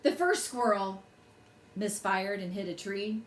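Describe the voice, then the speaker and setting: A woman reads a bedtime story aloud to children with expressive, dramatic phrasing. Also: medium pitch, unhurried. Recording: roomy echo, faint background noise.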